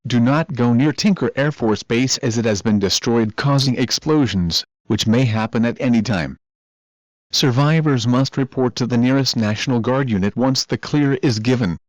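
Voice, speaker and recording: A man's voice: medium pitch, no echo, lo-fi sound. A man reading scripted weather emergency bulletins in a formal, automated-sounding delivery. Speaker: emergency alert announcer.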